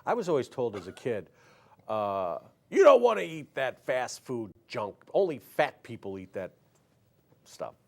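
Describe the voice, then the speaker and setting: A man's voice: mid-range voice, very animated, a little slow. A man hosting a casual talk show, rambling and cutting himself off mid-sentence. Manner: rambling, self-interrupting.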